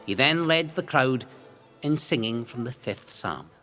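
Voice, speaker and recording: A man reading scripted historical narration in a measured, dramatic storytelling manner with emphatic phrasing. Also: mid-range voice, lively intonation, sharp tone. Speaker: narrator of a local history series. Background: music bed.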